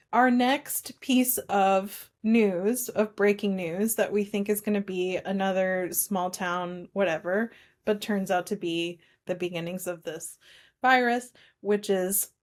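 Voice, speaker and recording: A woman co-hosting a casual film discussion, recapping in an informal, conversational way with animated retelling. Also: medium pitch. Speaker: podcast co-host.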